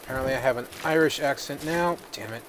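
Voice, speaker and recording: A man who is feeling a little sick, giving relaxed let's-play commentary and thinking aloud with plenty of fillers. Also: mid-range voice, fast talker, background noise. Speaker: gaming video host.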